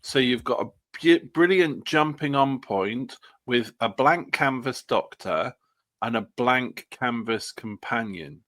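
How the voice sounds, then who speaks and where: A man with a middle-pitched voice chats as a podcast panellist. He sounds enthusiastic and informal, often stopping and restarting as he builds a point. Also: slow, a bit bright. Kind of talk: casual panel discussion.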